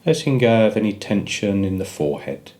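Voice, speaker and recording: A man narrating a guided meditation, giving gentle, measured instructions with pauses between phrases. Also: on the low side, big pitch swings.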